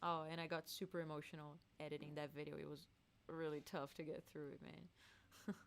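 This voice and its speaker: A woman's voice: low voice, little pitch movement, normal speed, gravelly, a little hiss or hum. Woman, live-stream host, casual chat, playful, laughs a lot. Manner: playful, laughs often, reads chat aloud. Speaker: singer hosting a live stream.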